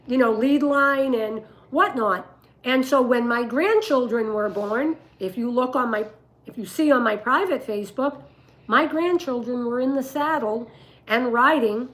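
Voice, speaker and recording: Slow-talking older woman with a rough voice. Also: on the high side, a bit bright, low-quality recording. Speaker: dog breeder and livestream host. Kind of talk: casual livestream storytelling and advice.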